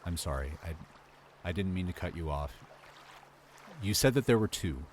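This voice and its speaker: A man playing an earnest, hesitant adventurer in a fantasy audio drama, speaking in a halting, polite way. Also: sits low, animated, noisy background. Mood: hesitant, distracted. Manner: halting, apologetic. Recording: clear water ambience behind voice.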